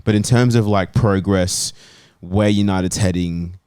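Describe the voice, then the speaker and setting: A man's voice: sits low, fairly even intonation, unhurried, darkish tone, clean tone, no echo, clean studio sound. A man talking casually as a football panellist, giving his opinion in a debate.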